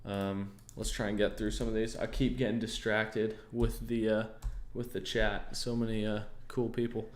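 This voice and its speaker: Laid-back low-voiced American man. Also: flat delivery, moderate pace, few pauses. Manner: easygoing, thinks aloud with many fillers and restarts. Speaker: trading livestream host. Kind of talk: casual livestream chat answering viewers.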